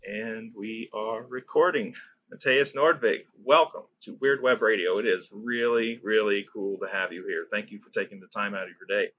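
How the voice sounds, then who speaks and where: A man from the United States hosting a casual podcast interview, upbeat and chatty, quick to laugh. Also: on the low side, a bit bright, dry recording, dull audio. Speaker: podcast host.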